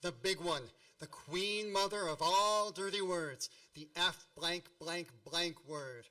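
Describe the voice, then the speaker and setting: A bright, slightly high-pitched man's voice, heard from off the microphone. An actor plays a nostalgic radio-play narrator who tells boyhood troubles with wry, mock-epic grandeur. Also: slow pace, exaggerated voice. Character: nostalgic grown man wryly retelling his boyhood mishaps. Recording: distant microphone.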